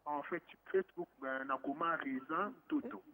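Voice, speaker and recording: A man's voice: fairly high pitch, normal speed, sharp tone. A man born in Morocco, calling in to a radio show and talking in a rambling, casual way, mixing in Lingala. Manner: rambling, restarts often, mixes French and Lingala. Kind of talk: casual phone-in chat. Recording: phone line on the radio.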